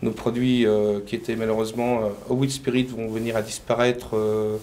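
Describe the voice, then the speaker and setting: A man's voice: lowish voice, little pitch movement, moderate pace, darkish tone, slight background noise. A man from France, a painter and decorator, answering interview questions hesitantly with many fillers.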